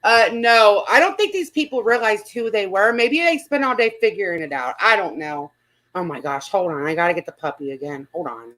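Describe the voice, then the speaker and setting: A woman's voice: medium pitch, expressive, brisk, a bit bright. A woman hosting a casual group livestream, chatting and reading comments aloud. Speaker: livestream host.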